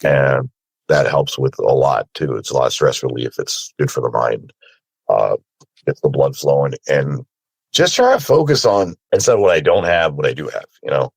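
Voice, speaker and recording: A man's voice: a bass voice, very animated, moderate pace, vocal fry, breathy edge, no echo. A man talking casually and candidly about himself, in an informal way, often checking in with the listener. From Sri Lanka, moved to America as a child. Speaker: panelist, voice actor. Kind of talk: casual, candid personal conversation.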